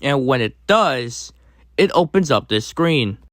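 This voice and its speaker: A man hosting a casual explainer commentary, speaking in halting, choppy phrases. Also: mid-range voice, lively intonation. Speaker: video host.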